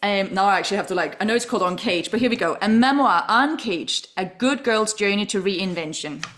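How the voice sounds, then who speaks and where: A woman's voice: mid-range voice, lively intonation, brisk, a bit bright. A woman hosting a podcast, effusive and enthusiastic, laughing often as she welcomes and praises her guest. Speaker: podcast host. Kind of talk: podcast interview.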